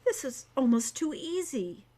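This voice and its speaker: A woman voicing a self-assured, irritable teenage boy wizard in an audiobook, soft and matter-of-fact. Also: sits high, very animated, unhurried. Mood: calm. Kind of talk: audiobook character voice.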